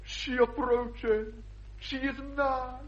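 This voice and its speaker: A man's voice: very high-pitched, flat delivery, extremely slow, heavily acted. An actor playing a mild, unctuous country clergyman with an English accent, pedantic and formal, in a radio comedy. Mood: reverent. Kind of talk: 1960s British radio comedy acting. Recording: dull vintage radio recording.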